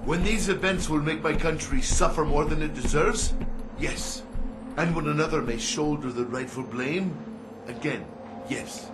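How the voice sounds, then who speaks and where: An actor playing a shrewd middle-aged Saudi arms dealer in a video game, speaking in formal, grave, measured phrases. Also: male voice, a little high, music in the background. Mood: solemn, probing.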